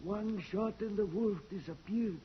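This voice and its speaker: An actor playing a superstitious old game warden in a radio play, telling things with earnest emphasis. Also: male voice, high-pitched, a little slow, slightly rough, full character voice. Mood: eerie storytelling. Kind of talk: old-time radio drama acting. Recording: muffled old radio recording.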